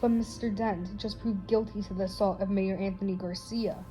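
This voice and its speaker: A woman's voice: mid-range voice, fairly even intonation, moderate pace, warm tone, faint music behind, lightly acted. A woman playing a stern, formal courtroom judge in an amateur radio play. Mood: stern, businesslike.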